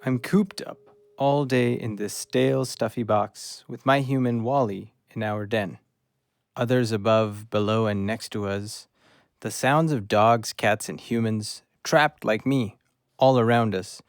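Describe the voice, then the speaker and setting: A man's voice: on the low side, fairly even intonation, a little slow, clear, resonant tone, dry recording, clean studio sound. A man playing a loyal, eager rescue dog in an audio drama, earnest and pleading with his human.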